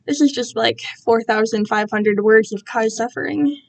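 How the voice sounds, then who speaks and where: A woman's voice: on the high side, normal speed, creaky, a little mellow. A young woman chatting casually on a livestream in a rambling, self-deprecating way full of giggly internet slang. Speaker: amateur writer reading own fan fiction.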